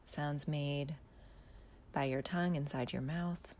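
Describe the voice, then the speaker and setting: A woman leads a guided body scan in a slow, soft voice that often drops to a near whisper. Her pitch sits low and carries a gravelly fry. Long pauses separate her gentle instructions. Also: steady tone. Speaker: mindfulness guide.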